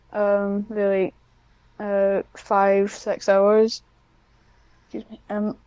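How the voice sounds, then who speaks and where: Woman, casual podcast guest, low-key pitch movement, dry sound, hesitant, chatty, self-deprecating. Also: a little mellow, smooth, dry recording, low-quality recording. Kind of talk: casual one-on-one interview chat.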